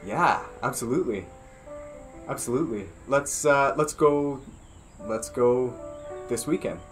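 Guy acting keen young dude on a date, medium pitch, awkward, repeats himself, improv soap. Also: faint music behind, natural voice. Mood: eager. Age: young adult. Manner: eager, repetitive, halting.

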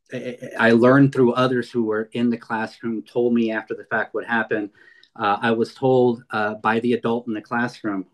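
A man in his fifties from California's San Fernando Valley, giving a heartfelt webinar talk over a video call with many fillers and restarts. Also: mid-range voice. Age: 50s. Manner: earnest, frequent fillers and restarts. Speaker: guest speaker. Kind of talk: webinar presentation.

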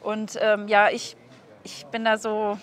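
A woman in her thirties talks as a casual interviewer. Her voice sits at an ordinary middle pitch. She is recorded outdoors on a clip-on mic. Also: completely flat delivery, takes it slowly. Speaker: interviewer, hobby aquarium video creator. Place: outdoors beside an expo building. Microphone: clip-on wireless mic on the chest.

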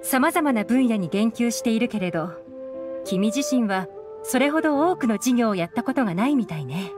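An actress playing a composed, shrewd investigator in a Japanese video game, assured and direct. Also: female voice, fairly high pitch, animated, moderate pace, music in the background, lightly acted. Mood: cool, composed.

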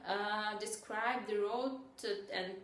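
Slow-talking Russian-accented young woman. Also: mid-range voice, steady tone, a bit bright. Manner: hesitant, many fillers and restarts, gestures while talking. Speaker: vlogger. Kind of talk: casual talk to camera. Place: small indoor room in front of a bamboo-print wall. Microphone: camera at a short distance. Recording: echoey room.

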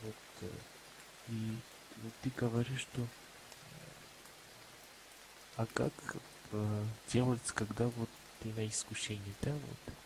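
A man from Armenia with an Armenian accent, speaking Russian as a second language in a halting voice chat about faith, full of pauses and restarts. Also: lowish voice, little pitch movement, noisy background. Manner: halting, many fillers and restarts. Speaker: chat participant. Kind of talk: casual religious discussion. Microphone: walkie-talkie voice chat app.

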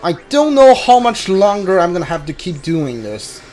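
A man streaming a game with casual, halting commentary, often repeating himself. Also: high voice, faint music behind. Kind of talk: casual live playthrough commentary. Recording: steady background noise.

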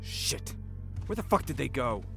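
An actor playing an angry, menacing boss in an audio drama, with a threatening delivery. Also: male voice, mid-range voice, big pitch swings, measured pace, vocal fry, fairly bright tone, faint music behind, heavily acted. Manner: threatening, swearing.

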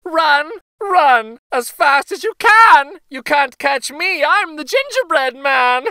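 Brief: man putting on a high, boastful little gingerbread boy, sing-song taunts, bright and bouncy. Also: big pitch swings, slow, no room sound, clean studio sound, full character voice. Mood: gleeful taunting. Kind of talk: storybook read-aloud.

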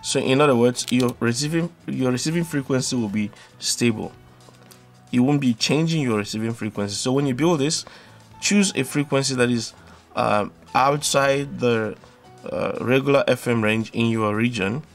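A man's voice: mid-range voice, moderate pace, soft background music. A man hosting a hands-on tutorial, explaining in an instructional way and often repeating and rephrasing his steps. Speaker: electronics tutorial host.

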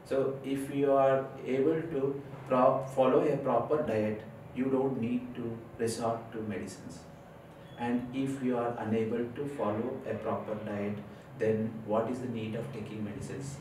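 A middle-aged man from India, an Ayurveda physician, explaining sinus care to camera with many hesitant fillers. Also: on the low side. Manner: earnest explaining with frequent uh fillers, gesturing with hands. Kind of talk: health talk to camera. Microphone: lapel mic. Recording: very echoey room, distant mic, faint noise.

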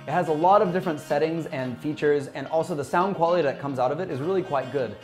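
A man in his thirties giving a scripted software tutorial in an upbeat presenter's manner, speaking into a lapel mic in a small office. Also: fairly high pitch, fast talker. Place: small bright office, indoors. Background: background music.

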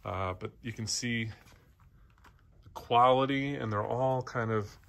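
Man giving a halting walkthrough. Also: medium pitch, steady tone, gravelly, a bit bright. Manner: halting, short phrases while browsing. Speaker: auction house presenter. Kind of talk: unscripted walkthrough narration, pointing out items. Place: indoor antiques showroom, walking around.

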